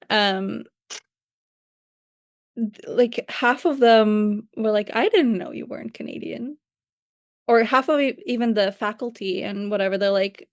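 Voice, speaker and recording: A young woman speaks English as a second language with a light Slavic accent. She is a guest researcher talking in a casual, reflective way, often hedging with "like." Her voice is mid-pitched and dry, with no room sound. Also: no room sound. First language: not English. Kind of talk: casual conversation.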